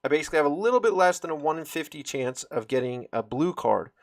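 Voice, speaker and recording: A man's voice: on the high side, animated, fast talker. A man giving an informal, rambling walkthrough of a game, explaining details as he goes. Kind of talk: informal screen-share game tutorial.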